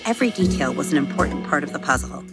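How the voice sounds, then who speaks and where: A woman hosting a podcast, narrating a bedtime story for children in a gentle, expressive storytelling manner. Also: low voice, quick pace, loud music behind the voice.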